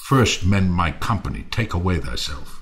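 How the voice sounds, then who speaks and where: A man's voice: deep voice, big pitch swings, moderate pace. An actor playing a bitter, ruined Athenian nobleman turned misanthrope in a Shakespearean audio play, theatrical and scornful. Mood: contemptuous.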